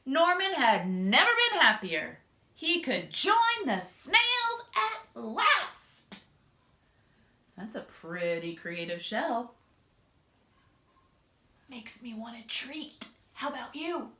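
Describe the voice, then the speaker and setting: A woman doing an expressive children's read-aloud, drawing out words and speaking straight to young listeners. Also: high voice. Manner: expressive, drawn-out emphasis, addresses young listeners directly. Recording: echoey room.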